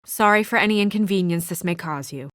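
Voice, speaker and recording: An actress playing a brisk, guarded young female office assistant in a noir video game drama, speaking in a crisp, businesslike, dry manner. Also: on the low side, a bit bright, no room sound, close to an everyday voice. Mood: polite, brisk.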